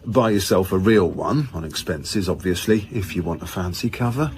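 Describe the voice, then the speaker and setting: A man's voice: low-pitched, expressive, normal speed, darkish tone, a little hiss or hum. A man playing a genial, dry senior police boss in a naturalistic radio drama, droll and understated. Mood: droll, casual. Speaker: actor in an audio drama.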